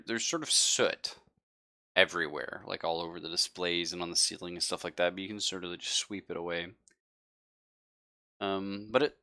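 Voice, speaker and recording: A man narrating a live tabletop roleplaying game as game master, in a halting, conversational way. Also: low-pitched, bright, forceful tone.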